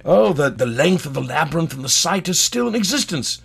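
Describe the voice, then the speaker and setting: A man playing a pompous, eccentric antiquities scholar, easily thrilled, with a halting, stammering, digressive delivery in a comedy lecture. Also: fairly high pitch, sing-song, creaky, fairly bright tone, breathy edge, exaggerated voice. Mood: dramatic, astonished. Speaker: guest. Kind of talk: improvised-sounding comic lecture in character.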